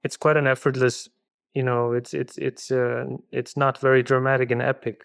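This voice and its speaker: A middle-aged man whose first language is Icelandic, giving thoughtful, halting interview answers full of restarts. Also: mid-range voice, flat delivery, gravelly, clean tone, dry recording, studio quality. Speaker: film director guest.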